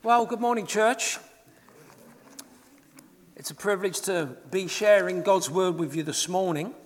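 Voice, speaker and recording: A middle-aged man with an English accent, preaching earnestly to a church congregation as a guest speaker. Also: unhurried. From England. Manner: earnest, rises in pitch and volume when reading scripture. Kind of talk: sermon. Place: church hall. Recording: long hall reverb in a church.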